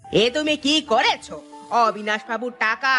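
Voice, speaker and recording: A woman's voice: fairly high pitch, moderate pace, pushed and bright, soft background music. A woman doing a scolding village wife. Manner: scolding, stern, demanding. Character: sharp-tongued village wife. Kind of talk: Bengali cartoon voice acting.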